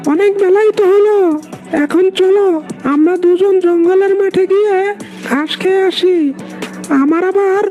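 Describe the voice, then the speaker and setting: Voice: extremely high pitch, fairly even intonation, measured pace, long pauses, dark tone. A performer voicing a friendly, eager, hungry goat in an animal cartoon, agreeable in manner, with music behind. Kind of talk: animal fable cartoon voice acting.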